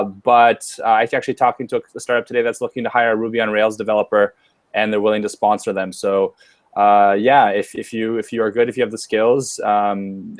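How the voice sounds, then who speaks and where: A man's voice: on the low side, dry recording. A man hosting a live webinar, chatting casually with many fillers and restarts. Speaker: webinar host. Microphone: webcam or headset on a video call.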